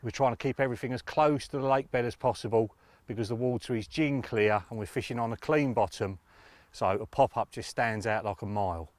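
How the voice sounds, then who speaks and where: A man's voice: medium pitch, fairly quick, darkish tone, no room sound. Middle-aged man, dry close sound. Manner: matter-of-fact, explanatory. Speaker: angling presenter. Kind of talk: informal fishing tips to camera. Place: outdoors on a lakeside bank.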